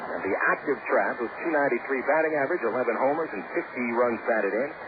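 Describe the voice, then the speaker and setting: A man's voice: medium pitch, fast talker. Man, baseball play-by-play, radio commentary, conversational, thin tinny sound, audible hiss. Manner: relaxed conversational calling with stats.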